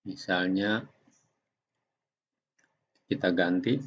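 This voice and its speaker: A man giving an unscripted software tutorial, explaining step by step in a halting way. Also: deep voice, steady tone. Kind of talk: unscripted screen-recording tutorial. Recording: dull lo-fi home recording.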